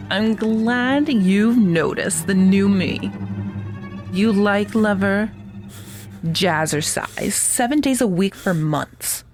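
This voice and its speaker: A woman's voice: medium pitch, over music. A woman playing a deranged, possessive stalker in an audio drama, with a sweetly menacing, taunting delivery. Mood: gloating, teasing. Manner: cooing, taunting, deliberate.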